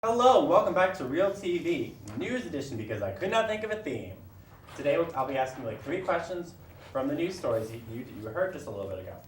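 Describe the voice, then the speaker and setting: Young man, slightly high pitch, casual quiz host, halting delivery, echoey room, faint noise. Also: big pitch swings. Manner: halting, stumbling over words. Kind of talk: casual trivia show hosting.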